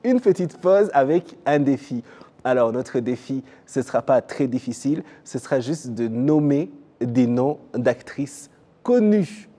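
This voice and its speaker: An easygoing male host chatting on a television talk show. He talks in a loose, casual way, pausing on fillers and laughing readily, at a middle pitch for a man. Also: animated, mellow. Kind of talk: casual TV interview.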